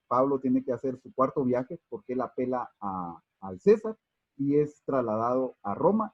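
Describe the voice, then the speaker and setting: A man with a husky voice teaches a Bible class, halting often and restarting his sentences. He speaks warmly to his listeners as brothers. The recording is dry and a little dull. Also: medium pitch, no echo. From Guatemala. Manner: hesitant, many fillers and restarts, addresses listeners as brothers. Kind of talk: unscripted Bible class lecture.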